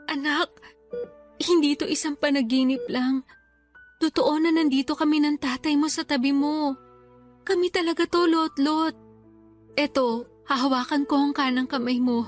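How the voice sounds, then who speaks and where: A high-voiced mother played by a woman, worn down by grief yet loving, speaks in a dramatic radio play. Soft music runs behind her. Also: fairly even intonation, moderate pace, long pauses, close to an everyday voice. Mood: tender. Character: grieving, weary, loving mother of a sick child.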